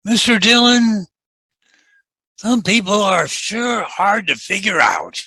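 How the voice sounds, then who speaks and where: An actor playing a bashful, good-hearted, folksy frontier deputy in a radio western, hesitant and courteous, with a creaky, rough voice. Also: male voice, high voice, sing-song, slow, bright, forceful tone, dry recording, full character voice, rough recording. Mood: wistful. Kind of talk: old-time radio western acting.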